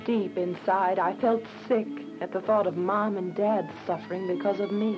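A woman's voice: mid-range voice, fairly even intonation, moderate pace, lightly acted. A woman playing an earnest, devout young prisoner, narrating her story in a radio drama. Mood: somber, reflective. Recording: dull recording with music behind. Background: music.

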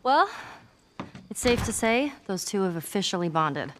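A tough teenage girl survivor with a wry, teasing way of talking. She fires back quick comebacks in a video game cutscene. A faint hiss sits under her voice. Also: mid-range voice, sing-song, fairly bright tone.

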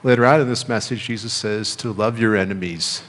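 A middle-aged American man preaching a sermon as a pastor, speaking in measured phrases with pauses and repetition. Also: on the low side, fairly bright tone. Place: church sanctuary, indoors at a lectern. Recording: reverberant church hall.

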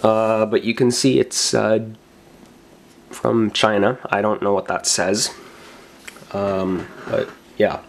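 A man's voice: on the low side, flat delivery, slow, creaky, long pauses, slight background noise. A young man with a cold, rambling casually through a home tech show-and-tell, full of fillers and self-corrections. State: recovering from a cold. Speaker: tech vlogger. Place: bedroom desk, indoors.

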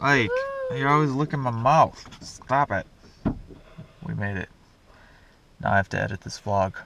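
A man's voice: mid-range voice, unhurried, vocal fry, sharp tone, a little hiss or hum. A man in his twenties vlogging casually in a car, playful and joking, recorded on a handheld action camera. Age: 20s. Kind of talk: casual chat. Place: inside a car. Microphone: handheld action camera at arm's length. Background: music, vehicle sound.

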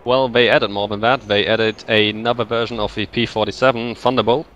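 A man's voice: on the low side, steady tone, brisk, a bit bright, muffled recording, soft background music. A man chiming in as co-commentator with stats, speaking in a hesitant, tentative way during a casual game chat.

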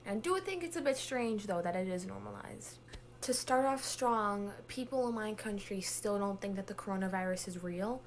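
A woman's voice: medium pitch, moderate pace. Young woman, dry half-whispered delivery. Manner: deadpan, drops to hushed near-whisper. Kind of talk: casual social video monologue.

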